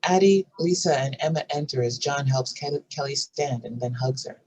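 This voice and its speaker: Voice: medium pitch, normal speed, darkish tone, breathy edge, lo-fi sound. A narrator reading script stage directions in a scripted narration style. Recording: faint background noise.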